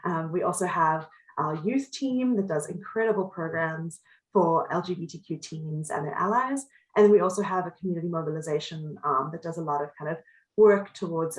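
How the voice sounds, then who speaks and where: A woman's voice: low voice, reverberant, poor audio. A woman from South Africa with a Cape Town accent, leading an educational workshop in an upbeat, welcoming way. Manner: upbeat, welcoming, frequent ums. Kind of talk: friendly presentation over video call.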